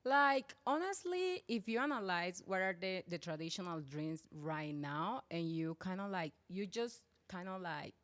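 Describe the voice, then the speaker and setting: Colombian-accented woman, lowish voice. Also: lively intonation, measured pace, hardly any pauses, a bit bright. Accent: Colombian Spanish. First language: Spanish. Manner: earnest, non-native English with grammar slips. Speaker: guest, drink business owner. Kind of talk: casual interview.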